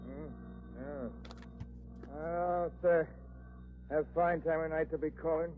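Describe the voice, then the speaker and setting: An actor playing a gruff, exasperated police commissioner, sarcastic and grumbling, in 1940s radio style on a tinny recording. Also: male voice, a little high, steady tone, slow pace, soft background music. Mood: grumpy, indignant.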